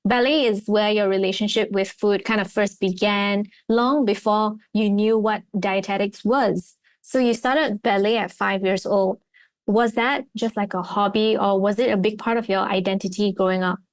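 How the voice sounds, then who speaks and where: A woman's voice: medium pitch, steady tone, normal speed, no echo. A young woman hosting a podcast interview, chatty and friendly, with many fillers. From Malaysia. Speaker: podcast host. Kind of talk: casual interview chat.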